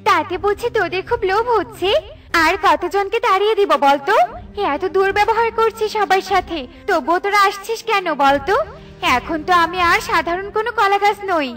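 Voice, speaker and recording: A woman's voice: very high-pitched, normal speed, a bit bright, soft background music. A woman voicing an arrogant, boastful talking banana tree in a cartoon fairy tale, with a haughty manner. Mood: scornful, angry. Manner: haughty and dismissive.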